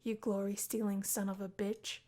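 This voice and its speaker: A woman voicing a charming, gallant young male bassist in a visual novel, speaking low in a breathy, half-whispered way. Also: level tone, normal speed, dark tone, natural voice. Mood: suave.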